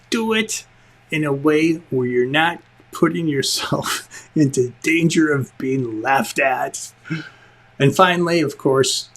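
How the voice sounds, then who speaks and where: A man with a slightly husky voice presenting a lesson as host, conversational and emphatic, with joking asides. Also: medium pitch. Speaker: instructor and show host, film director.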